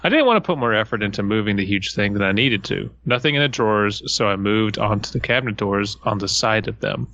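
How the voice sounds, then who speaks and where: Guy with a sharp bright voice reading a story on a podcast, crude jokes, lo-fi audio. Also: lowish voice. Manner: crude, quick wisecracks. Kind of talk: casual comedic story reading.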